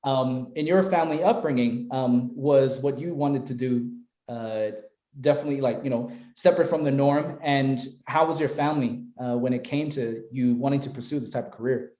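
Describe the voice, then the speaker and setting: A man's voice: mid-range voice, moderate pace, warm tone, roomy sound. A man hosting an interview podcast, upbeat and affirming toward his guest. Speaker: podcast host.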